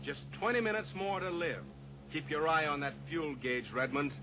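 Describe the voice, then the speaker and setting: A steely cowboy pilot hero, voiced by a man in a vintage radio play. He speaks calmly, with a slightly raised pitch and measured taunts. Audible hiss from the old recording runs underneath. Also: natural voice. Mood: coolly taunting. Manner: calm, coolly taunting. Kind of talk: 1950s radio drama acting.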